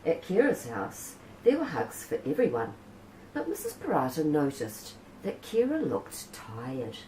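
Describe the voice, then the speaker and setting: A woman reading a picture book aloud in a gentle storytelling manner, saying Maori words naturally. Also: deep voice. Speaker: children's book reader. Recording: roomy with faint background hiss.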